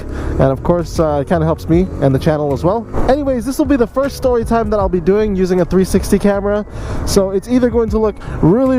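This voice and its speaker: A man telling a casual story in an informal, conversational vlog style, full of slangy emphasis. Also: high-pitched. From Canada. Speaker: motorcycle vlogger telling a story. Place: riding a motorcycle outdoors. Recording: vehicle and outdoor noise behind voice. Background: faint vehicle and road noise.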